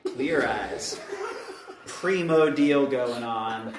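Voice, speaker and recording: A performer playing a laid-back, smarmy pitchman in a live radio-style comedy sketch, casually flirting. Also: extremely slow. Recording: noisy, echoey live room.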